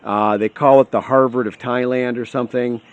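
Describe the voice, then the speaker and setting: A man's voice: mid-range voice, steady tone, normal speed, clean tone, muffled recording. A middle-aged man from Michigan talking unscripted to camera, rambling and restarting his words often. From Michigan, USA. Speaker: vlogger on retiring abroad.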